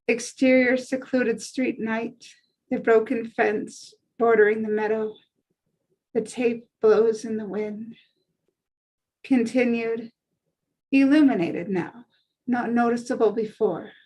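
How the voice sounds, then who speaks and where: A woman reading screenplay stage directions as scripted narration in a measured way. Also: on the high side, poor audio.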